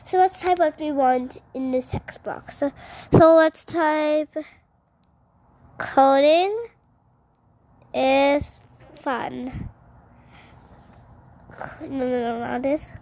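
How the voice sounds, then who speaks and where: A woman presenting an informal step-by-step software tutorial, hesitant and often repeating her instructions as she goes. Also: high voice.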